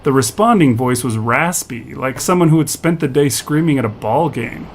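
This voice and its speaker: A man's voice: medium pitch, expressive, normal speed, a little hiss or hum. A man reading scripted narration for an audio fiction story.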